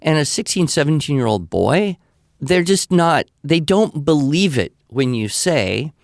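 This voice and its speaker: A man's voice: fairly high pitch, very animated, unhurried, vocal fry, dry recording. Man giving conversational advice, dry close sound. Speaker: podcast guest answering listener questions.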